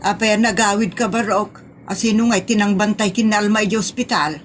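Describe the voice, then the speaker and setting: A woman's voice: mid-range voice, steady tone, fairly quick, soft background music, close to an everyday voice. A woman playing a gullible, fretful village mother with an Ilocano accent in a comedy audio drama. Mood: pleading, concerned.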